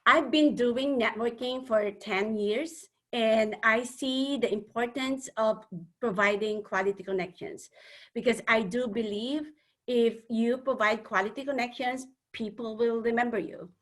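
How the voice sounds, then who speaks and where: A woman from the Philippines with a Filipino accent, speaking earnestly as a webinar panel speaker and often pausing on fillers. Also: on the high side, fairly even intonation. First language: a Philippine language. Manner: earnest, hesitant with many fillers. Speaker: panel speaker, networking organizer.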